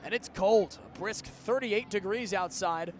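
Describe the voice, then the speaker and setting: A young man calling live college football play-by-play for radio in crisp, clipped descriptive phrases. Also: sits high, measured pace. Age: young adult. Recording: outdoor stadium broadcast with background noise.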